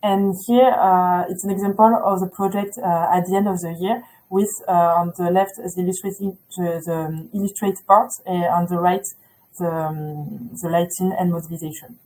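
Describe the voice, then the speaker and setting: A woman's voice: on the low side, fairly even intonation, moderate pace, mellow, a little hiss or hum, rough recording. A woman presenting a school's program in a webinar, talking hesitantly with many filler sounds.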